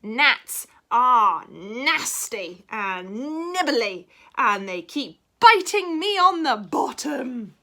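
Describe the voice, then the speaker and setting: A high, whiny storybook cat put on by a woman reading aloud, grumpy and fed up. The complaints stretch out slow, with lots of rise and fall in the pitch. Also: big pitch swings, bright, forceful tone, heavily acted.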